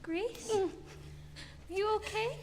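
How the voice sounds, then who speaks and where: An actress playing a gentle, anxious young woman in a horror video game, speaking softly and hesitantly. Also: extremely high pitch, very slow, exaggerated voice. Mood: concerned. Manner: soft, hesitant, caring. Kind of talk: naturalistic video game acting. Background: game music.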